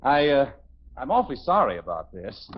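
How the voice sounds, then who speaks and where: A man's voice: mid-range voice, very animated, moderate pace. An American actor playing an exasperated, sarcastic suburban father in a 1950s radio comedy. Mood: apologetic, flustered. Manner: sarcastic, grumbling. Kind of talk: 1950s radio sitcom acting. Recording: muffled 1950s radio recording.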